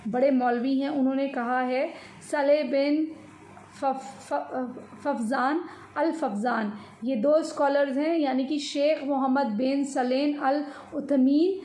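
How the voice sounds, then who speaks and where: High-pitched Indian woman in an echoey room. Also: fairly even intonation, slow pace, dark tone. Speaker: commentator on social and religious news. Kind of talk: informal news commentary to viewers. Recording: echoey room with faint noise.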